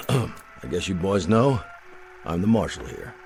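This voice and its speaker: An actor playing a laconic, tough, menacing western marshal with terse, drawling cowboy talk. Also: male voice, sits low, expressive, slow pace, a little mellow, a little hiss or hum, pitched below the performer's own voice, heavily acted. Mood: quietly menacing. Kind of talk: western film dialogue.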